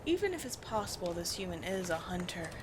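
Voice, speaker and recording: A woman playing a haughty, commanding vampire queen in a roleplay audio drama, speaking in deliberate, theatrical phrases with disdain for humans. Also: on the low side, very animated, noisy background. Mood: cool, curious.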